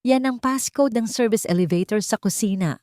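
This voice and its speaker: A woman's voice: a little high, brisk, no room sound, studio quality, voice pushed up, natural voice. Woman voicing a frightened, brave elderly housekeeper. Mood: brave, caring. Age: older. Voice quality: trembling with fear. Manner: urgent hushed confiding.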